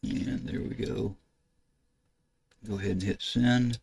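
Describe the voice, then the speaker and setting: An older American man with a low, creaky voice talks through a computer demonstration. He speaks casually and haltingly, thinking aloud as he goes. His delivery is flat, with little rise or fall. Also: a little hiss or hum. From United States. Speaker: ham radio video host. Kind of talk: casual screen-share tutorial narration. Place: indoors at a computer desk.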